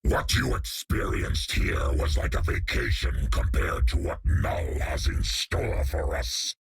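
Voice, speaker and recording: An actor voicing a menacing alien symbiote monster in a video game, with a hissing guttural rasp and ominous formal speech. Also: male voice, slow pace, dry recording, lightly acted. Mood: grim. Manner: ominous, formal, calls itself "we". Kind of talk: video game character lines.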